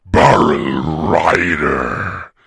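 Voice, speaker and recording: A man voicing a huge, wrathful, boastful storybook dragon, growling in a raspy, drawn-out, menacing way. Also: extremely low pitch, no room sound, clean studio sound, voice pushed down, exaggerated voice. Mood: menacing, suspicious. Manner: slow, drawn-out, forceful proclaiming.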